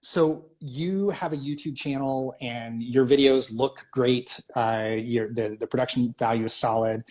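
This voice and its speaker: A man's voice: mid-range voice, fairly even intonation, unhurried, a little mellow. A man hosting a live video call interview, eager and hesitant, asking questions with many "uh"s. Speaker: host. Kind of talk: casual interview chat.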